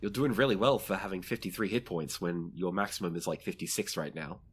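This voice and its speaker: A man's voice: deep voice, brisk, a little hiss or hum. A man running a tabletop roleplaying game as game master, narrating the action off the cuff and putting questions to the players.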